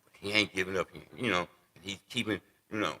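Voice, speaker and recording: Husky-voiced man in his sixties. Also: deep voice, fairly even intonation, pushed and bright. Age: 60s. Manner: unhurried, repeats words as he thinks. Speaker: interview guest. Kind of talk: casual interview.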